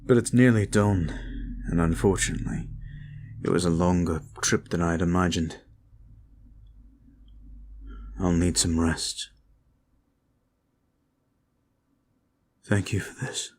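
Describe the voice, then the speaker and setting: A man voicing a devoted young male vampire in an ASMR roleplay audio. He speaks in an earnest, formal, quiet way. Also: deep voice, fairly even intonation, moderate pace, slight background noise, lightly acted. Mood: grateful.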